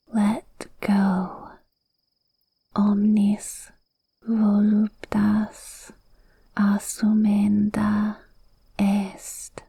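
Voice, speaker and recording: A woman voicing a cute witch in an ASMR roleplay, softly whispering a hypnotic spell in a soothing, breathy way, close to the mic. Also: medium pitch, no echo, exaggerated voice. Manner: slow, soothing, hypnotic, drawn-out phrasing. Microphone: close microphone, dry.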